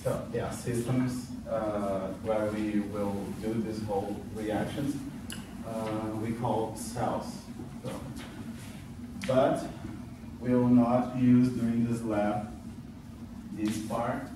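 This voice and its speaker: A young man with a Brazilian accent, giving a chemistry lesson in a classroom with many pauses, fillers and restarts. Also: on the low side, fairly even intonation. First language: Portuguese. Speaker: student teaching a practice class. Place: classroom with whiteboard. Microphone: far camera at back of room. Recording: echoey room from far off, noisy.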